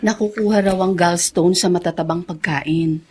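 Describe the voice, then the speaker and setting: A woman acting a worried, devoted adult daughter in a Filipino radio drama, earnest and gentle. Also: fairly low pitch, fairly even intonation, moderate pace, breathy edge. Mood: concerned, surprised.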